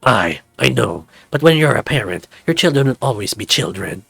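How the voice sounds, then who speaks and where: A man voicing a stern but affectionate dragon father with a gruff, measured manner. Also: mid-range voice, very animated, fairly bright tone, dry recording, faint music behind, exaggerated voice. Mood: stern, teasing.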